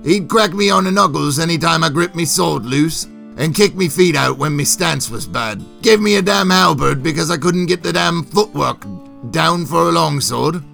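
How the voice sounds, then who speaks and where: A man voicing a gruff, hearty old soldier and father with blunt, rustic dialect in a fantasy audiobook, music behind. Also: on the high side, normal speed, sharp tone, pitched above the performer's own voice, exaggerated voice. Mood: wry grumbling.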